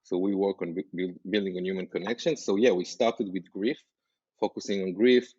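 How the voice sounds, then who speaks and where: Low-voiced Israeli man, halting and earnest. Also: fairly even intonation, darkish tone, slightly rough, no room sound, dull audio. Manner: earnest, halting with frequent fillers and restarts. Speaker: startup founder, guest. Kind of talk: podcast interview.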